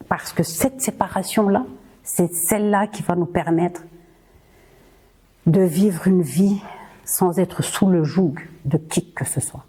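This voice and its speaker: A Rwandan-born woman hosts her own podcast in an earnest, rallying monologue. She talks slowly and often hesitates or repeats words. Her voice sits a little low and sounds mellow and husky. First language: Kinyarwanda. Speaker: podcast host.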